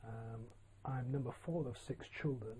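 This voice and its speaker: An older man from Yorkshire telling his own career story in a relaxed, plain-spoken way, with frequent ums and uhs. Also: medium pitch, moderate pace, slight background noise, low-quality recording. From Yorkshire, England. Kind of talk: casual autobiographical monologue.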